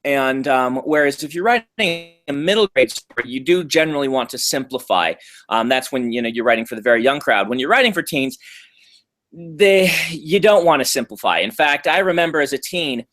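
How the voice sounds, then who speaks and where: A man talking casually in an interview at a middling pitch, his answers thoughtful and loose. He pauses often with ums and restarts his sentences as he works out what to say. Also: animated, a bit bright. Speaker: author being interviewed.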